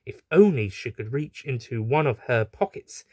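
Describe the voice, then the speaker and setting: A man's voice: mid-range voice, lively intonation, moderate pace, dark tone, no echo, studio quality. A man reading a scripted adventure story as its narrator.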